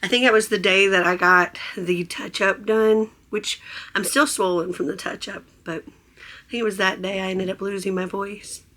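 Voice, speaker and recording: A hoarse woman who has nearly lost her voice, chatting in a casual, gushing vlog aboard a cruise ship. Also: medium pitch, level tone, normal speed, bright, forceful tone. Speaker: vlogger. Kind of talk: casual travel vlog chat.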